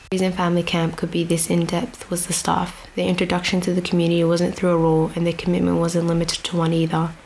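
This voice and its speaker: Young woman, low voice, flat intonation, measured speech, outdoor stage, faint background noise. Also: poor audio. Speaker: speaker addressing an outdoor audience. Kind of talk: prepared storytelling speech. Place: outdoor venue with grass and a stage.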